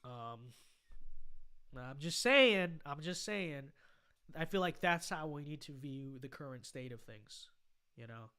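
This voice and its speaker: A young American man hosting a casual livestream, chatting and reading out viewer comments in a halting way that often repeats phrases. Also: fairly high pitch, expressive, bright, forceful tone, clear, resonant tone. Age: young adult. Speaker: livestream host.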